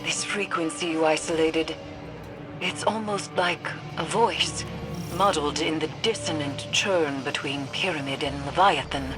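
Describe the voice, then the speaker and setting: An actress playing a cryptic female mystic in a video game, guiding a warrior in a solemn, measured, ominous manner. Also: low-pitched. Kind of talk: dramatic video game acting. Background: music.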